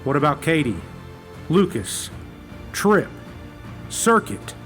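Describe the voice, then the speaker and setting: A man's voice: a little high, big pitch swings, slow pace, fairly bright tone, soft background music, exaggerated voice. A man playing a bitter retired ranger officer who speaks in an accusing way in a fan audio drama.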